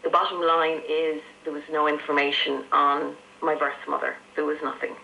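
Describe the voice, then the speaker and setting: A woman's voice: low voice, flat delivery, normal speed, creaky, lo-fi sound. An Irish woman in her fifties telling her own story conversationally as an interview guest, over shortwave radio. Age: 50s. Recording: noisy shortwave radio reception. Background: faint radio static.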